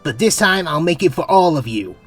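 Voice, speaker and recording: Woman as an animated, low-pitched boyish space captain. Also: a little fast, slightly rough, soft background music. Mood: excited. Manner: emphatic, boyish, swinging from hushed to excited. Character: young male space captain, earnest, excitable, caring. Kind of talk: fan comic dub voice acting.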